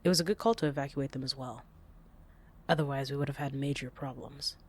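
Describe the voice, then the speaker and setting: A man playing a matter-of-fact crime lieutenant in an audio drama, speaking in a measured, businesslike way. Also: medium pitch, steady tone, lightly acted. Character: matter-of-fact male crime lieutenant briefing his boss. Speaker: voice actor. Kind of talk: audio roleplay drama acting.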